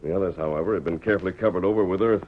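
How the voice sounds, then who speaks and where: An actor playing an earnest, commanding masked cowboy hero in an old-time radio western, reasoning aloud in crisp, formal sentences. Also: male voice, deep voice, very fast. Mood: earnest, pondering. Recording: tinny, narrow-band vintage radio recording.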